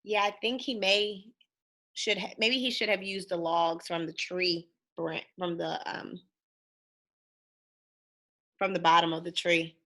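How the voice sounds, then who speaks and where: A woman's voice: fairly low pitch, fairly even intonation, a little slow, pauses often, bright, forceful tone. A woman reading a children's picture book aloud expressively, stopping to put questions to young listeners. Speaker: storybook reader. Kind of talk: animated read-aloud of a children's storybook.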